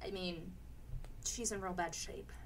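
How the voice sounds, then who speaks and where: A woman's voice: on the low side, fairly even intonation, measured pace, a little hiss or hum, poor audio. A woman playing an affectionate, motherly big sister who questions and fusses, in a radio play. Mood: concerned. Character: fussing, affectionate big sister, homely small-town woman. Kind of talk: live radio play acting.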